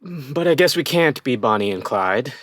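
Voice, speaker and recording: A man's voice: medium pitch, lively intonation, normal speed, fairly bright tone, no echo, studio quality, lightly acted. A man playing an earnest, hapless younger brother in an audio play, anxious and idealistic, with a nervy, apologetic manner. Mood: wistful.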